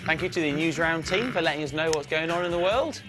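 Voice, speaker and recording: A man's voice: on the high side, expressive, fast talker, over music. A young man in his twenties presenting children's TV in a TV studio, playful and mock-indignant. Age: 20s. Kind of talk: lively scripted studio presenting. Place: indoor TV studio set.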